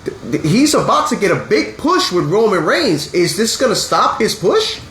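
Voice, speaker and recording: A man's voice: high voice, sing-song, normal speed, fairly bright tone. A man in his thirties hosting a casual wrestling podcast, sounding congested from a sinus infection and talking in an earnest, blunt way that turns to swearing when he gets upset. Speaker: podcast host. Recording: echoey room with faint hum.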